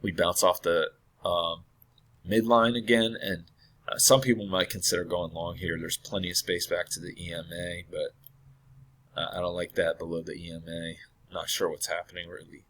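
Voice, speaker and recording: Man, deep-voiced, hoarse from illness, casual thinking-aloud chart review with throat clearing. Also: flat delivery, normal speed, fairly bright tone. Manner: thinking aloud, hesitant with many fillers and self-corrections. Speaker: trading analyst.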